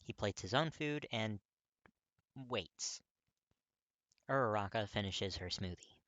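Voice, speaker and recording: A narrator reading fiction aloud in an expressive, emphatic audiobook style. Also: medium pitch, slow pace, no room sound, highs cut off. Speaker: podfic narrator.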